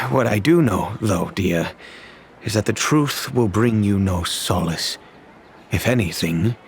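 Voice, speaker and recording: An actor playing a dignified, wealthy older father and head of a noble family, speaking formally and gravely in a video game. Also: lowish voice, a little hiss or hum. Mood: grave, reluctant. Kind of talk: scripted video game dialogue.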